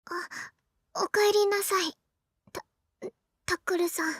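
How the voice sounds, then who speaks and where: A tiny, gentle young girl, extremely high-pitched and soft-spoken. She is polite and hesitant, in visual novel style, recorded dry. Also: fairly even intonation, fairly quick, no room sound. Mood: timid. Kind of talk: Japanese visual novel voice acting.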